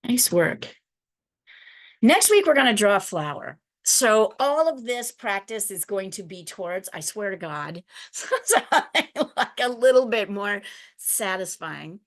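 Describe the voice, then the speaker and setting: A woman's voice: mid-range voice, sing-song, measured pace. A woman teaching an online drawing class over a video call, chatty and encouraging. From United States. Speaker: art teacher.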